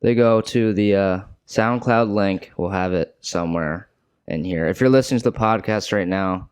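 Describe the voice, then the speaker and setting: A young man co-hosting a podcast as a hockey player, chatting in a relaxed, agreeable way and saying "like" often. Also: deep voice, moderate pace, clean tone, no echo. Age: young adult. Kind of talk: casual podcast chat.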